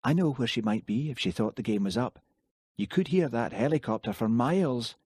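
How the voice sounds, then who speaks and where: An eager young woman with a lifted, excitable voice, as a male audiobook reader puts her on. She speaks brightly and high above a low male register. The sound is close and dry. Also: brisk, husky, dry recording. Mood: indignant. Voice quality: raised, excitable delivery. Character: high-pitched Highland woman, eager and indignant. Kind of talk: audiobook character voice.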